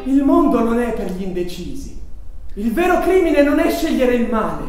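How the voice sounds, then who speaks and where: Stage idealist male actor: determined, very high animated voice, heavy echo, faint music behind. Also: normal speed. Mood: resolute. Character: resolute idealist determined to choose and act. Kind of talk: theatrical stage acting in Italian. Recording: very echoey room, faint music.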